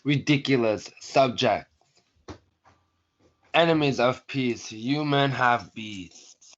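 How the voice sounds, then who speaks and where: A male student performer playing a stern, angry prince, speaking in a halting, commanding way in a play reading. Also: mid-range voice. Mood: stern, threatening. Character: stern angry prince rebuking his warring subjects. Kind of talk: student reader's theatre. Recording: dull, muffled lo-fi audio.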